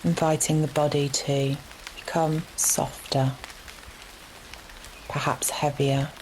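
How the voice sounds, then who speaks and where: A woman leading a guided relaxation meditation in a gentle, soothing manner, leaving long unhurried pauses between phrases. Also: low-pitched, slight background noise, rough recording. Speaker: yoga nidra meditation guide. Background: steady rain sounds.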